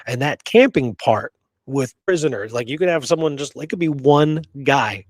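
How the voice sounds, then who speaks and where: A man's voice: mid-range voice, lively intonation, normal speed, dry recording, clean studio sound. A middle-aged American man chatting casually and emphatically about his opinions, in colloquial language with the odd swear word. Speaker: podcast co-host, history researcher and author. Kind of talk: casual opinionated history chat.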